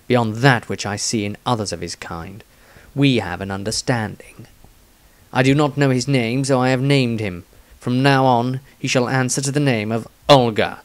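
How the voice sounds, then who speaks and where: A man voicing a stern, proud fighter reading his diary in a fantasy audiobook, solemn and measured. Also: medium pitch, pitched below the performer's own voice, close to an everyday voice.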